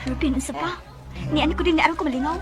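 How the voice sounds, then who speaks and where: A woman's voice: sits high, steady tone, racing, soft background music. An actress playing a petulant, sulky young girlfriend in a Cebuano Bisaya radio drama. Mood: snippy, defiant. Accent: Cebuano Bisaya, Philippines.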